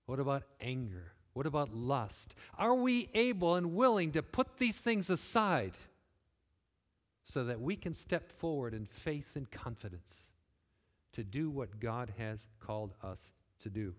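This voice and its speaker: A man from West Michigan preaching a sermon in a church, earnest, pushing phrases up and then letting them fall quiet. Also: medium pitch, big pitch swings, unhurried. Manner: earnest, rising and falling emphasis, quiet closing phrases. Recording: long hall reverb.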